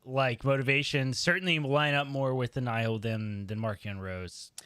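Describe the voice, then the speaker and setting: A man's voice: medium pitch, fairly even intonation, normal speed, a bit bright, clean tone, no room sound. A man in casual, joking chat as a podcast panelist.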